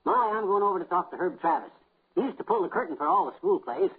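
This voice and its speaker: An actor playing a boastful, easily exasperated middle-aged husband in a 1950s radio comedy. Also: male voice, sits high, animated, fast talker, breathy edge, heavily acted. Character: blustery, self-assured husband, amateur vaudeville joker. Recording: noisy narrow-band 1950s radio recording.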